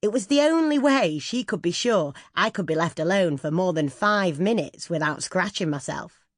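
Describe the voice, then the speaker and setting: A woman's voice: fairly low pitch, expressive, normal speed, no room sound, clean studio sound. A woman reading a scripted first-person memoir as an audiobook narrator.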